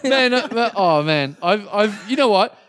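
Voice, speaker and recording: A man's voice: sits high, expressive, a little slow, fairly bright tone. A man, a podcast co-host, telling a self-mocking comedic story in a rambling, chatty way. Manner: rambling, self-mocking, laughs through his own story.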